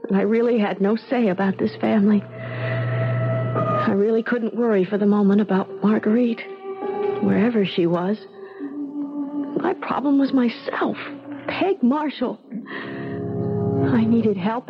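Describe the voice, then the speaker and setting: Actress as a spirited young bride, muffled recording. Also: female voice, mid-range voice, unhurried, long pauses, natural voice. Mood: wry narration. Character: spirited, defiant young bride from modern times. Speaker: radio drama lead and narrator. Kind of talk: 1970s radio drama acting and first-person narration. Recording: dull 1970s radio recording with music.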